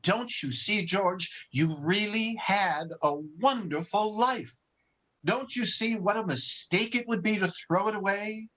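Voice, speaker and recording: A man playing a gentle, earnest elderly guardian angel in an old-time radio drama, over a video call. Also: high-pitched, unhurried. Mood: solemn. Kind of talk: 1940s radio drama acting. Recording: dull video-call audio.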